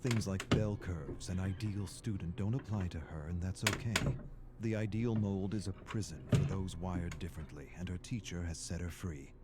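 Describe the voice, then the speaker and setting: A man performing as a solemn storyteller, narrating a video game's character stories in measured, dramatic phrases. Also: low voice, heavy background noise, lightly acted.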